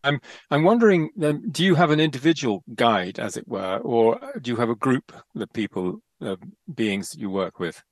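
A man of middling pitch asks his guest thoughtful questions, pausing and restarting as he goes. The recording is dry and close, with no room echo. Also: expressive. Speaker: interviewer. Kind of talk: interview questions.

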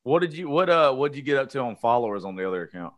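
A man's voice: mid-range voice, big pitch swings, racing. A man, hosting a casual interview chat in a blunt, joking, foul-mouthed way. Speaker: show host.

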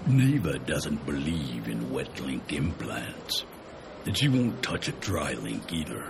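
An actor playing a gruff, kindly, folksy man in a science fiction audio drama, with a gravelly, reassuring delivery. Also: rumbling low, big pitch swings, slow pace, noisy background, heavily acted. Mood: calm, instructive. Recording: music under the voice.

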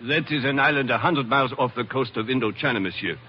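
An actor playing a suave, guarded colonial gentleman, speaking with formal politeness in 1940s radio drama. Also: mid-range voice, quick pace, a little hiss or hum, lightly acted. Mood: suave, polite. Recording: muffled vintage radio recording.

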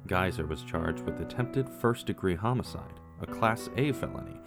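A man giving scripted true crime narration, measured, with casual asides to the viewer. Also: deep voice, lively intonation. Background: faint guitar music.

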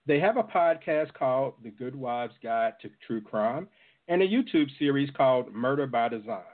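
The audio is thin and phone-like. A male radio host speaks with an animated, swinging pitch as he puts questions to his guests. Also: moderate pace. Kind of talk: interview questioning.

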